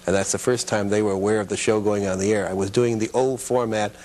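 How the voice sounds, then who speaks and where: A man's voice: lowish voice, steady tone, quick pace, darkish tone, a little hiss or hum, low-quality recording. A mature American man reminiscing casually as an interview guest in a television studio. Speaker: guest, former children's TV producer and puppeteer.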